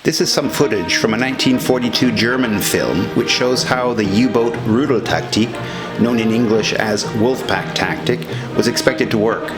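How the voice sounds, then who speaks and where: A man's voice: mid-range voice, moderate pace, rough recording. A man reading scripted documentary narration as the narrator of a historical film. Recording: music under the voice. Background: music.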